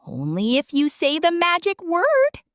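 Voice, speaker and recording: A woman voicing a stern but kindly male wizard in a storybook, measured and knowing. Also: very high-pitched, huge pitch swings, no echo, studio quality, pitched above the performer's own voice, heavily acted.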